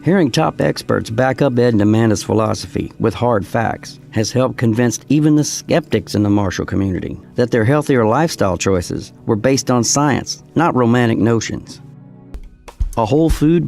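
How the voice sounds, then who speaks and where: A man's voice: mid-range voice, lively intonation, moderate pace, vocal fry, a little mellow, soft background music. A man reading scripted narration for a documentary.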